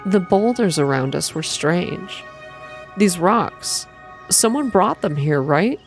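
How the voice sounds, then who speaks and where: A woman reads a story aloud as an ASMR narrator. Her voice sits on the low side, and music plays faintly behind her. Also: lively intonation, takes it slowly. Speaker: narrator reading a visual novel aloud.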